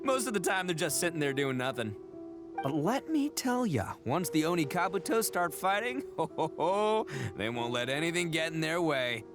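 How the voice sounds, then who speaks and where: An actor voicing a boisterous horned oni man, a cocky braggart with loud laughs. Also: a little high, very animated, normal speed. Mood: boastful. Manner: boastful, loud laughs, brash. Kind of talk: game voice acting. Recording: game dialogue over music.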